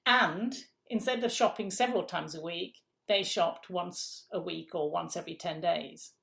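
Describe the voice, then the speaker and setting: A woman's voice: on the low side, moderate pace, creaky, a bit bright. A middle-aged woman from England, a guest in an interview, explaining food systems step by step with emphatic repeats.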